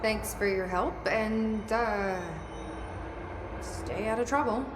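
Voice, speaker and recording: A woman's voice: on the high side, slow pace. A woman playing a wry, impatient young heroine with supernatural powers in a video game, dry and conversational in delivery. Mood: curious, wry. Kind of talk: naturalistic video game acting. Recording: echoey long reverb, loud ambient noise behind.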